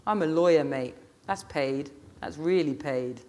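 A man's voice: fairly high pitch, a little slow, darkish tone, close to an everyday voice. A man voicing a combative, sarcastic woman, a mother and lawyer, insistent and scornful, in an audiobook story.

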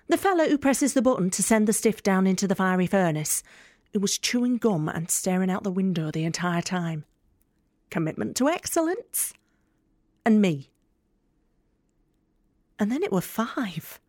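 Female actor as a bereaved daughter, wry and deadpan, clipped phrases, audio drama monologue, dry close recording. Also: mid-range voice, no echo, lightly acted. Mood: grieving, wry. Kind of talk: confessional monologue in an audio play.

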